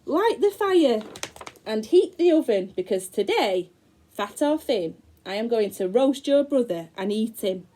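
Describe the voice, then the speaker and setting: A woman's voice: on the high side, very animated, a little slow, dark tone. A woman voicing a greedy, bossy old witch in a children's storybook read-aloud, barking orders.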